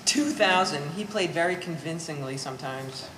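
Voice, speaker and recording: A woman's voice: low voice, moderate pace, close to an everyday voice. A woman playing a resentful, bookish adult daughter in a stage play, speaking with wordy sarcasm. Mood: dry. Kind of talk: live stage play acting. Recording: echoey hall, distant mic, faint noise.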